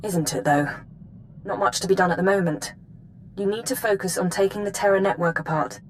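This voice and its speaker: A woman's voice: medium pitch, a little fast, slight background noise, natural voice, low-quality recording. A woman playing a professional intelligence analyst in a video game, briefing in a precise, matter-of-fact, formal manner. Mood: businesslike.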